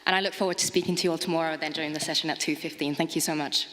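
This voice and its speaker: A woman from Finland, giving a measured conference presentation with frequent hesitant fillers. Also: low-pitched, steady tone, a bit bright, a little hiss or hum. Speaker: conference speaker, project officer. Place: conference hall. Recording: long reverb of a large hall.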